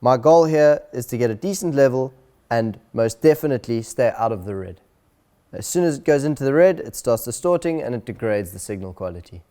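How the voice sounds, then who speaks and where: A man presenting an instructional video in a clear, deliberate, step-by-step way. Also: medium pitch, animated, warm tone. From South Africa.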